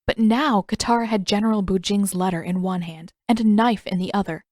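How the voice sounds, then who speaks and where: A woman's voice: medium pitch, dark tone, no echo, clean studio sound. A woman reading scripted fiction aloud as a story narrator. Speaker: fan fiction author and narrator.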